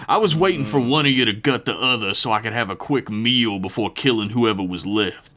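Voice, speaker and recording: A man's voice: mid-range voice, fairly quick, a bit bright, lightly acted. A man voicing a gargantuan talking snake villain who sounds bored and taunting in a mock-genteel way. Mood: bored menace.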